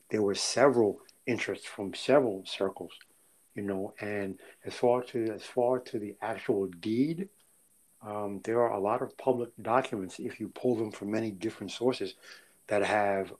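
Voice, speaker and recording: A man's voice: fairly low pitch, darkish tone. A middle-aged American man co-hosting a casual political discussion, blunt and dismissive, with a short laugh now and then. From United States. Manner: blunt and dismissive, chuckles between remarks. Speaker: podcast co-host.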